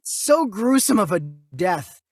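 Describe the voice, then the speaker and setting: A man's voice: high-pitched, big pitch swings, takes it slowly, dry recording. A man in his thirties co-hosting a casual film review chat, enthusiastic and emphatic, rambling as he goes. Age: 30s. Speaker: podcast co-host.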